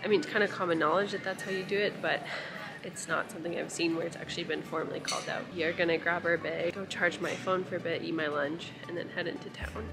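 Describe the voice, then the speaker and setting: A woman's voice: lowish voice, steady tone, fast, music in the background. A young woman from Canada with a cold and a congested voice, chatting upbeat to viewers while vlogging at arm's length. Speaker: travel vlogger. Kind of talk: casual travel vlog. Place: on the go outdoors, airport and hostel room. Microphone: handheld camera at arm's length.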